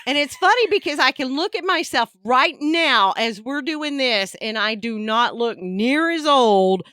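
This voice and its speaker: A woman's voice: high voice, animated, measured pace, sharp tone, smooth, no room sound, studio quality. A woman in her fifties, co-hosting a podcast with laughing, teasing banter. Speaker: podcast co-host. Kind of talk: casual chat.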